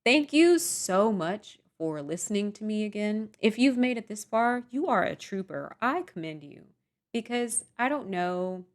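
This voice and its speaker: A young woman vlogger rambling softly and self-deprecatingly through a casual storytime monologue. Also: mid-range voice, very animated, a little slow.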